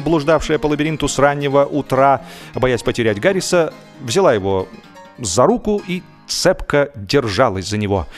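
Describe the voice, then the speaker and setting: Expressive male storyteller over music. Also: mid-range voice. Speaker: audiobook narrator. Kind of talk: scripted humorous story narration.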